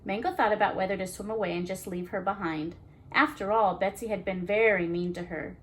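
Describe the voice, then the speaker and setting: A woman's voice: mid-range voice, fairly even intonation, a little fast, a bit bright. A woman hosting a children's storytime, narrating expressively and adding casual asides. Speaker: children's storytime reader and host.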